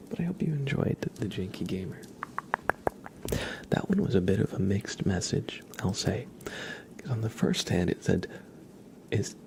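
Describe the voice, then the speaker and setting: A man hosting an ASMR livestream, speaking softly in a murmur that often slips into whispers. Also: deep voice, gravelly. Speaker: livestream host. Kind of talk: soft-spoken ASMR livestream.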